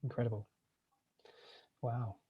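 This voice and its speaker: A man's voice: medium pitch, level tone, slow pace, slightly rough, phone-quality sound. A man hosting a mental health podcast, enthusiastic and effusive, rambling a little as he praises his guest and sets up questions. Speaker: podcast host. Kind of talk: podcast interview.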